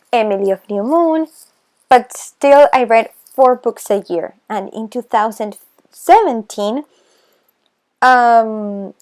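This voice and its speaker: Slow, hesitant Argentinian teen girl. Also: medium pitch, a little mellow. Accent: Argentinian Spanish. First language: Spanish. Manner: slow, hesitant, self-conscious, many pauses. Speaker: booktuber. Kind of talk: casual voice-over vlog.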